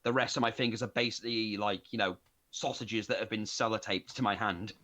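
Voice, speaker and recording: A man's voice: on the low side, fairly even intonation, brisk, sharp tone. A man in casual chat as a tabletop game player, talking in a rambling, self-deprecating way.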